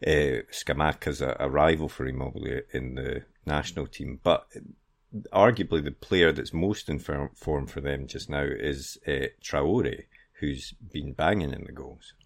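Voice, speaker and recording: A man's voice: a bass voice, measured pace, gravelly. A man co-hosting a football podcast, talking casually and giving his opinions freely.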